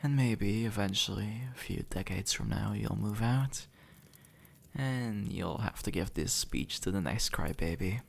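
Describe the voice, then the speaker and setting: A man playing an easygoing, centuries-old demon who was once human, speaking gently and casually to the listener in an intimate audio roleplay. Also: lowish voice, measured pace, creaky. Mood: casual, teasing. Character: easygoing two-century-old male demon, formerly human, reassuring and teasing.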